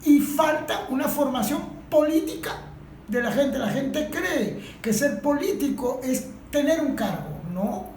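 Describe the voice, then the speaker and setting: Venezuelan female, high voice, emphatic and halting, impromptu discussion remarks, echoey room. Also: expressive, a little mellow. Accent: Venezuelan Spanish. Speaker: industrial worker. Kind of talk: impromptu contribution to a group discussion. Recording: echoey room with faint background noise.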